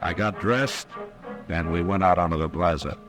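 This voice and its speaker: A man acting a stern frontier marshal in an old-time radio western. His American voice is very low, and he talks in terse, commanding lines with steady authority. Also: moderate pace, music in the background, lightly acted. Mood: grim narration. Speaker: lead actor and narrator.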